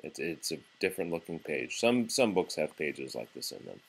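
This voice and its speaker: A man reading a picture book aloud to a young child in an expressive, patient, encouraging manner. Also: very deep voice, moderate pace, gravelly, breathy edge. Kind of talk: storybook read-aloud.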